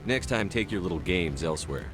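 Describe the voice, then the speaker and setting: An actor playing a blunt, no-nonsense military commander in a game, with a terse, firm delivery. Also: male voice, deep voice, animated, fairly quick, over music.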